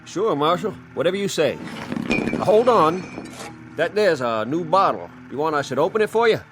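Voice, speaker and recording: An actor playing a folksy, jokey saloon barkeep in an old western scene. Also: male voice, on the high side, animated, normal speed, background noise, lightly acted.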